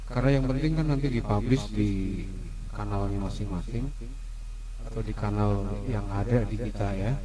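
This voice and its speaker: A man's voice: mid-range voice, poor audio. A man with an Indonesian accent giving a casual, explanatory introduction as a podcast host, often posing rhetorical questions. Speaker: host of a school history podcast.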